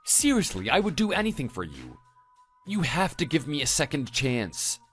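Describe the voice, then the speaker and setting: A man's voice: fairly high pitch, huge pitch swings, normal speed, fairly bright tone, soft background music. A man playing a regretful, pleading husband in a radio drama, speaking earnestly. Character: pleading, regretful husband begging his wife back. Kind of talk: dramatized story dialogue.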